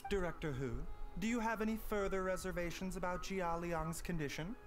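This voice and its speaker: An actor playing a gentle, secretive young doctor in a video game, speaking politely in measured, formal phrases. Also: male voice, high-pitched, over music, lightly acted. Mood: courteous, composed. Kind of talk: scripted video game voice acting.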